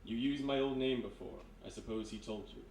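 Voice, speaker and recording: An actor playing a sinister, courteous hypnotist in a live radio mystery, speaking in a measured, insinuating way. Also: male voice, medium pitch, darkish tone, natural voice. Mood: soft menace. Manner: measured, insinuating, polite, deliberate. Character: sinister soft-spoken male hypnotist, calmly menacing, courteous. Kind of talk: live 1940s-style radio mystery acting. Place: live performance in a historic house room. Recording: roomy distant live recording, background noise.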